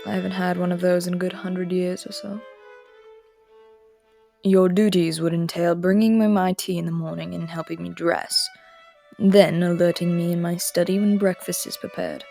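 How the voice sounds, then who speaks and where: A cool, languid immortal prince, as a woman voices him in a roleplay audio drama, aloof and aristocratic. The voice is lowish with a gravelly fry, and he gives orders calmly, drifting now and then into soft murmurs. Faint string music plays beneath. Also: normal speed. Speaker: voice actor.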